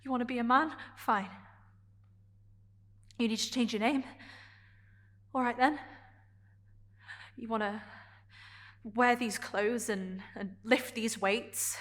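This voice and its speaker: An actress as higher-voiced vulnerable, defiant young woman. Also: steady tone. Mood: hurt, defiant. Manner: halting, deliberate, emotionally charged phrases. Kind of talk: dramatic stage monologue.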